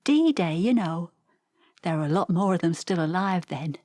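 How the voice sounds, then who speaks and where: An actress playing an elderly veteran's wife with a Northern English accent, reminiscing in a plain, reflective audio-play monologue. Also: female voice, fairly low pitch, expressive, moderate pace, darkish tone, no echo. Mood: fond reminiscence. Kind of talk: verbatim theatre monologue.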